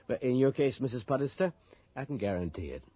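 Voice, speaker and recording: An actor playing a polite, questioning, educated visiting reporter in a 1980s radio drama. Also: male voice, medium pitch, sing-song, normal speed, husky. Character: visiting male reporter, polite, probing, incredulous. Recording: dull lo-fi radio drama recording.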